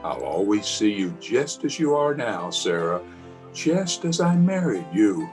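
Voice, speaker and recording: A man's voice: lowish voice, big pitch swings, a little slow, music in the background. A man playing a tender, adoring young bridegroom in an old-time radio drama. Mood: adoring, reassuring.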